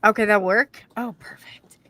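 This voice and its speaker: A woman's voice: mid-range voice, fairly even intonation, normal speed, pushed and bright, dry recording. Woman, Iowan accent, middle-aged host, chatty, rambling, video call mic, dry. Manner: chatty and rambling, trails off while working the screen. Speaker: podcast host. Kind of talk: casual live-stream chat.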